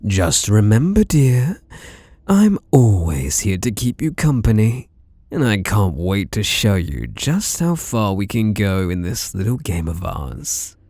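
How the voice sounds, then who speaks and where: Man acting as obsessive British masked killer, husky, unhurried, theatrical menace, swooping murmur, ASMR roleplay. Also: lowish voice, very animated, a little mellow, no room sound, full character voice. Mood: teasing menace. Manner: slow, menacing, theatrical, mock-tender murmuring with whispered words. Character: sadistic, teasing, obsessive male masked slasher killer.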